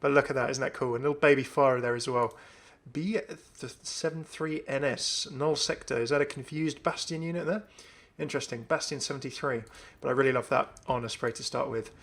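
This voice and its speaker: Male gaming video host, enthusiastic and casual, medium pitch with noticeable vocal fry. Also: fairly even intonation, fairly quick, hardly any pauses, a bit bright. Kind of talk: casual commentary on a game update.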